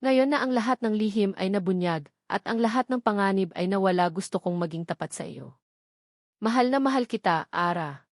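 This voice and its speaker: A woman's voice: medium pitch, lively intonation, a little fast, dry recording, studio quality, close to an everyday voice. A woman with a Filipino accent voicing a devoted, earnest man in love for a romance story. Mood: tender, earnest.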